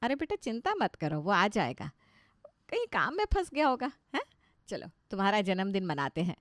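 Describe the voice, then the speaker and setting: A woman's voice: medium pitch, very animated, moderate pace, fairly bright tone, no echo, studio quality. A woman voicing a caring but firm older mother-in-law in a moral story, motherly and authoritative. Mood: reassuring. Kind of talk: dramatised Hindi moral story.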